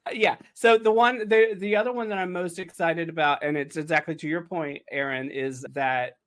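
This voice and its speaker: A man's voice: on the high side, fairly even intonation, normal speed, fairly bright tone. A man chatting casually and effusively, with emphatic phrasing, as one of the writers on a podcast. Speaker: audio drama writer and podcast host. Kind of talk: casual chat.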